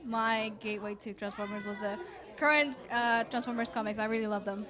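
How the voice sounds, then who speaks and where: A woman's voice: a little high, steady tone, fairly quick, a bit bright. A young woman from Costa Rica, an art teacher, chatting enthusiastically in an interview at an art gallery, laughing often. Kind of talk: casual interview chat.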